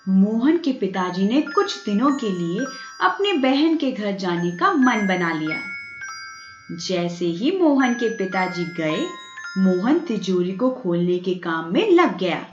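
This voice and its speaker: Female children's story narrator. Unhurried, expressive, high register; roomy, dull recording with music bed. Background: background music.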